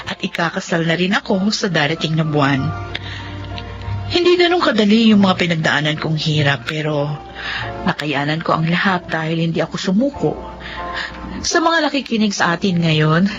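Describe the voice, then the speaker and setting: An actress playing an earnest young Filipino daughter and single mother in a radio drama, with a heartfelt, deliberate delivery. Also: on the low side, lightly acted. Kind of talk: radio drama acting and first-person narration. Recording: dull lo-fi audio with clear music underneath.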